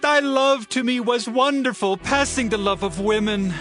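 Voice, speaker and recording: A man's voice: very high voice, normal speed, fairly bright tone. An actor playing King David, a grieving warrior-king, speaking in a solemn, lamenting way in a dramatized scripture reading. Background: faint background music.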